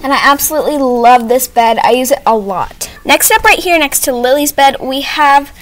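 A woman's voice: high voice, lively intonation, moderate pace. A young female vlogger chatting casually in a rambling, self-correcting way as she hosts a home tour video. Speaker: host of a doll video channel. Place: small home playroom, indoors. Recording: noticeable background noise, amateur camera audio.

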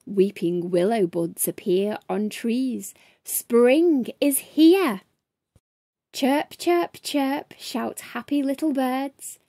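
A woman's voice: a little high, warm tone, dry recording, studio quality. A woman in her thirties hosting a children's storytime, speaking expressively with a sing-song delivery straight to young listeners. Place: small furnished room at home, indoors.

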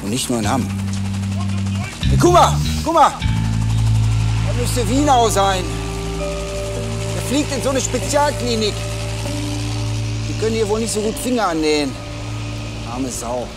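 A chatty, self-satisfied detective in a radio crime drama. His voice sits high for a man and swings up and down in a lively way. He talks colloquially, with music running behind him. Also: a little slow, long pauses, far from the mic. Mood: weary. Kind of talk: naturalistic German radio crime drama acting.

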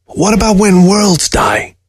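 A man's voice: mid-range voice, moderate pace, no echo, studio quality, voice pushed up, lightly acted. A man voicing a tired, irritable old male taxidermist in a spoken story. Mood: heated. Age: older. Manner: exasperated, defensive.

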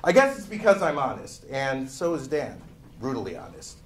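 A man's voice: mid-range voice, huge pitch swings, moderate pace. A middle-aged man giving an emphatic, theatrical reading of a written introduction at a microphone. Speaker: guest reader at a book party. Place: indoor room at a live party. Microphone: microphone on a lectern stand. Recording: live room, faint background noise.

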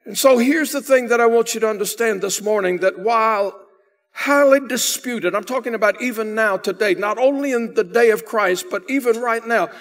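A man's voice: sits high, normal speed. An older man preaching a sermon in a church sanctuary, speaking from the pulpit and pressing hard on key phrases. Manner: emphatic preaching, swelling louder on key phrases. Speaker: pastor.

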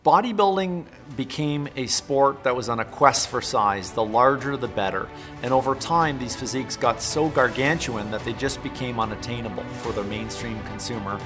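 Man explaining, over loud music. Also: mid-range voice, moderate pace, few pauses. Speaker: interviewee in a documentary. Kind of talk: documentary interview. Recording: clear music bed under speech.

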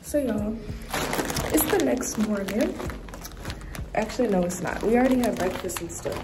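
A woman's voice: mid-range voice, expressive, normal speed, very noisy. A young adult American woman, chatting casually as a vlogger. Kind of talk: casual vlog chat. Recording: music behind the voice.

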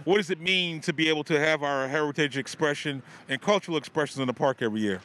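A man's voice: a little high, quick pace, sharp tone. A middle-aged man interviewing a shop owner on a sidewalk for community TV, talking into a handheld mic with playful promo patter. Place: outdoor sidewalk by shops.

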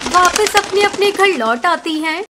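Female storyteller, extremely high pitch, scripted children's narration, noticeable background noise. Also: expressive, measured pace. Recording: clear background noise and ambience.

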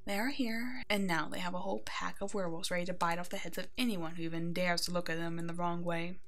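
A woman playing a kind, protective female werewolf alpha in an ASMR roleplay, speaking in a gentle, nurturing way that often slips toward a whisper. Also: on the low side, steady tone, quick pace. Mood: pitying, protective. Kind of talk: ASMR roleplay acting, tender and soothing.

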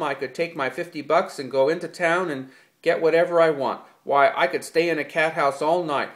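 A man voicing an angry, exasperated ranch hand who rants loudly and bitterly. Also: medium pitch, close to an everyday voice. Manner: loud, bitter ranting.

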